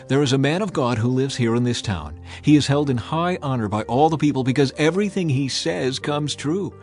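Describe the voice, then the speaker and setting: A man's voice: medium pitch, animated, fairly quick, a little mellow, faint music behind, close to an everyday voice. A man playing a helpful, hopeful servant of ancient Israel in a dramatized scripture reading. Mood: hopeful suggestion.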